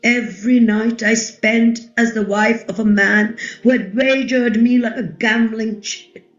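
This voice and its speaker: Radio play queen, female actor: highish voice, slow and intense, proud, defiant, scarred by humiliation, roomy echo on the recording. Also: fairly even intonation, lo-fi sound, soft background music, close to an everyday voice. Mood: brooding, probing. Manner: deliberate, dramatic, intense. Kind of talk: play reading, radio drama.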